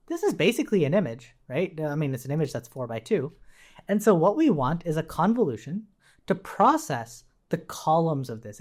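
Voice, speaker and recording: A man giving an informal technical tutorial, thinking aloud and often checking in with a quick "right?". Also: high voice, a little mellow. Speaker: instructor.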